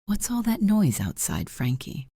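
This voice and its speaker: An actress playing a world-weary, guarded nightclub singer in a noir radio drama, wry and cool. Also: female voice, mid-range voice, wildly swooping pitch, measured pace, mellow, husky, no echo, clean studio sound, exaggerated voice. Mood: shaken. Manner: wry, cool, hard-edged.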